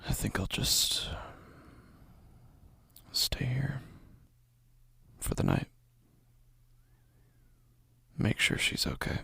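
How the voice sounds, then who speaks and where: A man playing an exhausted, anxious father, narrating a first-person horror audio drama in a gravelly, husky, halting voice. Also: mid-range voice, huge pitch swings, no room sound, in a whisper, heavily acted. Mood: weary, brooding. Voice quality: gravelly, husky, often breathy. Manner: hushed, halting delivery with heavy sighs. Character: exhausted, anxious father haunted by his daughter's calls.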